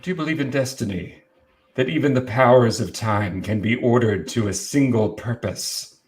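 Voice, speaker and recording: A man's voice: medium pitch, a little mellow, natural voice. Man playing a proud, grandiose old vampire count. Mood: wistful, courtly. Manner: formal, grandiose, deliberate. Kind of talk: amateur live dramatic table read.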